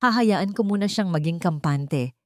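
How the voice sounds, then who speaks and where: A woman's voice: fairly low pitch, expressive, moderate pace, darkish tone, no room sound, clean studio sound, natural voice. A woman with a Filipino accent voicing a cold, resolute, vengeful businessman in a story. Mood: cold resolve. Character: cold, vengeful male CEO, distrustful.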